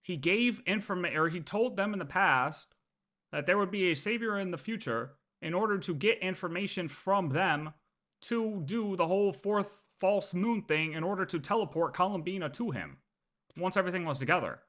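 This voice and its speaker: Animated, bright-voiced male game streamer. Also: high voice, normal speed. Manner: argumentative, repeats points, swears. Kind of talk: livestream reaction, casual chat.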